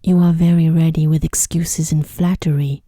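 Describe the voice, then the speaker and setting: A stern male beast from a bedtime fairy tale, voiced by a woman. The voice is very mellow and hushed, with a breathy grain and a very dry, close sound. It gives grim, angry commands softly. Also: low-pitched, level tone, moderate pace, dry recording. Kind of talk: bedtime storybook reading.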